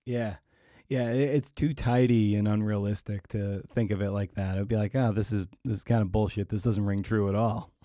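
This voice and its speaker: Mellow, lowish man hosting. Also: fairly even intonation, no echo, studio quality. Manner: halting, searching for words. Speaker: podcast host. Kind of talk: casual conversational interviewing.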